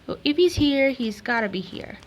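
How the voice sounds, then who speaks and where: High-voiced woman playing a game. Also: expressive, normal speed, a little hiss or hum. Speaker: game streamer. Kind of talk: reading game dialogue aloud.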